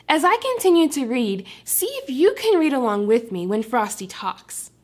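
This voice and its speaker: A woman reading a story aloud to young children, expressive and instructive, pausing to ask questions as a teacher. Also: high-pitched.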